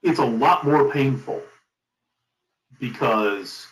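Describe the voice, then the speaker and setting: A middle-aged man being interviewed as a company founder, giving advice in an emphatic, deliberate conversational way. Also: mid-range voice. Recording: roomy, echoing sound.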